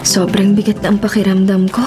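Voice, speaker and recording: A woman's voice: mid-range voice, level tone, brisk, over loud music, lightly acted. An actress playing a weary, frightened young Filipino woman in a radio drama, with an exhausted, anxious delivery. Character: weary, frightened young woman travel vlogger under a curse.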